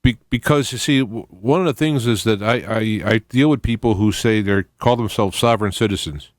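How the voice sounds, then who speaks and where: A man's voice: lowish voice, a little fast, no echo. A middle-aged man hosting a radio interview, explaining his points at length in colloquial, conversational language. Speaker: radio host.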